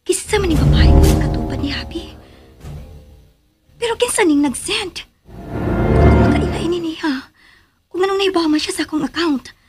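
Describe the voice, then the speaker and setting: An actress with a Filipino accent playing a loving but uneasy young wife in a radio drama, musing hesitantly. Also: female voice, very high voice, normal speed, pauses often, over loud music. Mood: puzzled. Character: loving young wife, uneasy and secretly doubting her husband. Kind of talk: Philippine radio drama acting.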